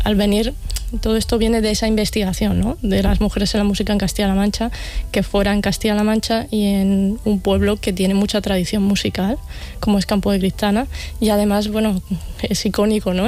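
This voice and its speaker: A woman's voice: mid-range voice, little pitch movement, moderate pace, mellow. A woman from Ciudad Real, Spain, with a Spanish accent, explaining a festival as its organizer, with many fillers and tag questions. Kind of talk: conversational interview.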